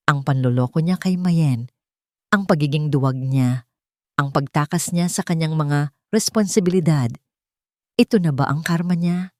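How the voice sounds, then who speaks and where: Low-voiced Filipino woman telling a dramatic story. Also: a little mellow, no echo, clean studio sound. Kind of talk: scripted dramatic narration of a love story.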